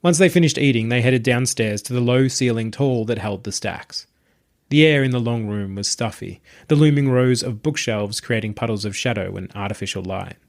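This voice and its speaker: Man narrating a short story, medium pitch. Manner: measured, descriptive storytelling. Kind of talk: scripted audiobook narration.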